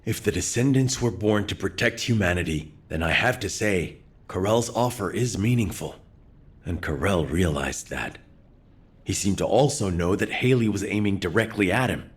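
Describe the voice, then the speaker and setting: An actor playing a weary, conflicted resistance commander in a video game, somber and reflective. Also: male voice, low-pitched, animated, normal speed, husky.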